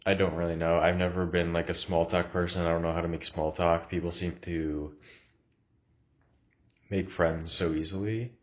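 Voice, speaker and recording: A man's voice: deep voice, level tone, moderate pace, muffled recording. A man with a cough, recording a casual, reflective vlog in his new apartment, talking hesitantly with many fillers. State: has a cough. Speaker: vlogger.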